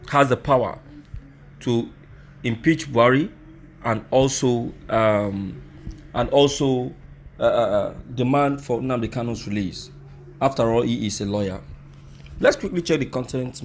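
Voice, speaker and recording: A man's voice: mid-range voice, a little hiss or hum. A Nigerian man presenting opinionated news commentary, speaking emphatically and rhetorically, addressing his listeners directly.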